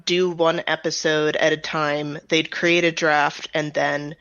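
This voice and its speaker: A woman talks in a conversational interview style, laying out her explanations step by step. Her voice has a marked gravelly fry. The recording is dry and a little dull in the top end. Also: low voice, flat delivery, unhurried, fairly bright tone, dry recording. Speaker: audio drama writer and director, guest. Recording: slightly dull, band-limited close recording.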